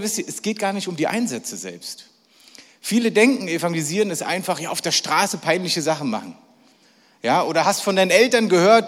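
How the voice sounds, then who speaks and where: Man, middle-aged, chatty joking preacher, slightly high voice, long hall echo. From Berlin, Germany. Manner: chatty, jokey, asks the audience questions. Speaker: preacher at a church event. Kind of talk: lively sermon to a live audience.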